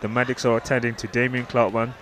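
A man's voice: mid-range voice, level tone, fast talker, a bit bright. A man giving casual, hesitant live commentary on a fight. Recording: noisy event hall ambience.

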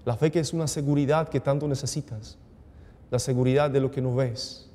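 A man in his forties, a priest speaking solemnly and with measured exhortation at Mass. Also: mid-range voice, steady tone, mellow. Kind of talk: homily and liturgical prayer. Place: small indoor TV studio chapel. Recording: long reverberant hall echo.

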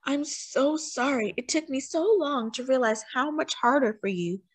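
A woman's voice: high-pitched, moderate pace, husky, pitched above the performer's own voice. A woman voicing an earnest, loyal young male race car in a children's storybook, expressively. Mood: sorry.

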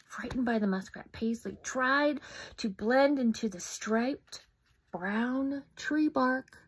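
Fifties woman reading a children's book to camera at home; slow, expressive, slightly husky. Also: on the high side, poor audio. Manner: animated, with whispered asides. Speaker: storybook reader. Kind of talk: reading a picture book aloud. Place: home room indoors. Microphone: phone camera close up.

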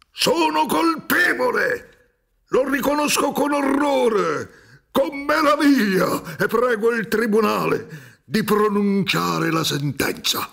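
A creaky, fairly high male voice in a radio drama. He is a solemn accused man, confessing with emphatic theatrical declamation and wide swings of pitch. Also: fairly bright tone, heavily acted. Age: older. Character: solemn male defendant eagerly confessing himself a murderer. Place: dark theatre stage, reading from script. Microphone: studio microphone with headphones.

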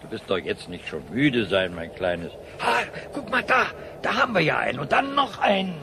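An actor playing a patient, kindly old grandfather who gently explains things in a radio play. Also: mid-range voice, sing-song, moderate pace, gravelly, noisy background. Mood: gentle, encouraging. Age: older. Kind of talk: German radio play acting.

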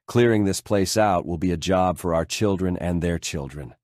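An actor playing a solemn, resigned army engineer in an audiobook drama. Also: male voice, low voice, moderate pace, a little mellow, no room sound, clean studio sound, natural voice. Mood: grimly resigned.

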